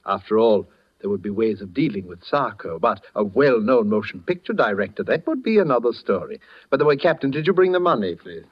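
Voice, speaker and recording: A man's voice: fairly low pitch, sing-song, brisk. Suave male crime mastermind, silkily polite menace, 1940s radio suspense, muffled vintage broadcast sound. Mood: smoothly courteous. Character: suave, courteous, cunning male master criminal posing as director.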